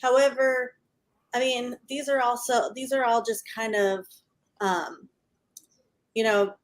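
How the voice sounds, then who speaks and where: A woman in her thirties speaking as a guest in a casual panel discussion, halting and rephrasing as she goes. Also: a little high, fairly even intonation.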